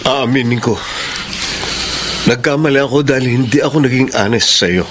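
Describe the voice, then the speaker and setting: A man's voice: mid-range voice, moderate pace, noisy background, natural voice. A Filipino actor playing a guilty young man, earnest and contrite, in a radio drama. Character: apologetic young man, guilty best friend and love rival.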